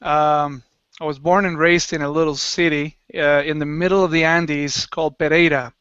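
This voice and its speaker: Man from Colombia, guest, smooth clear voice, dry muffled video call sound. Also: a little high, little pitch movement, normal speed, fairly bright tone, dry recording. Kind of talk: casual video call conversation.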